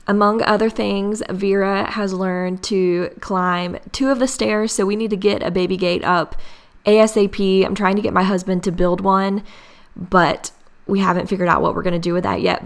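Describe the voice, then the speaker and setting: A young woman narrates her cleaning routine in a chatty, step-by-step way. Her voice is raspy and scratchy from a cold, low-set and gravelly with fry. She keeps a fairly flat, even tone. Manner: chatty, explains each task step by step. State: hoarse from a cold. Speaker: cleaning vlogger. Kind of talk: casual voice-over narration.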